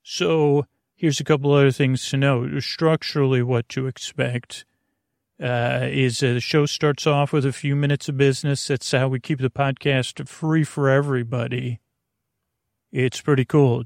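A man with a creaky voice hosts a sleep podcast, rambling soothingly in hushed golf-commentator tones, haltingly meandering and over-explaining. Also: medium pitch, no room sound, clean studio sound. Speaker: host of a bedtime sleep podcast.

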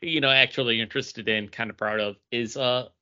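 A man's voice: mid-range voice, steady tone, fairly quick, bright, forceful tone, clear, resonant tone, highs cut off. A man co-hosting a podcast in casual chat, easygoing with wry asides. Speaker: podcast co-host.

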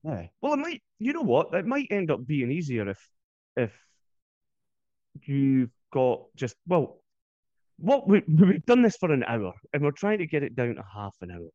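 Lively Scottish man, slightly dull audio. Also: a little high, moderate pace, no room sound. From Scotland. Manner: hesitant, self-conscious, lively. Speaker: podcast co-host. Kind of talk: casual chat. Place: home office.

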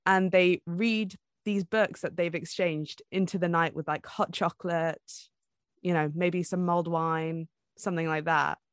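Female podcast co-host. Slightly low voice, dry recording, informal and chatty book talk. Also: fairly even intonation, unhurried, clear, resonant tone, dry recording. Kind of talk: casual book podcast chat.

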